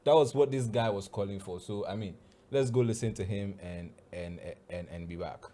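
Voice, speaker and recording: A man's voice: on the low side, normal speed, slight background noise. A Ghanaian man hosts in loose, casual commentary. He rambles a little and leans on fillers like "you know" and "so, yeah.". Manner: relaxed, rambling with repeated fillers. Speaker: show host.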